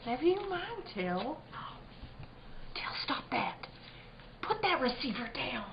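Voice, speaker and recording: A woman's voice: sits high, big pitch swings, slow, gravelly, slight background noise, heavily acted. A woman playing a practical, scolding, protective rural widow with folksy, fussing speech in an old-time radio drama. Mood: stern. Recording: muffled live stage recording.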